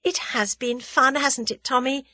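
A woman's voice: high-pitched, fairly even intonation, normal speed, bright, forceful tone, no room sound, voice pushed up. A woman voicing a spirited, teasing young woman in love in an audiobook, playful and eager. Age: young adult. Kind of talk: audiobook character dialogue.

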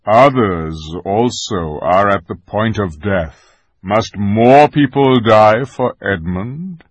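A man voicing a majestic lion king in a storybook, grave, slow and commanding. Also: lowish voice, no echo. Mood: grave reproach. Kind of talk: dramatised audiobook reading of a children's fantasy.

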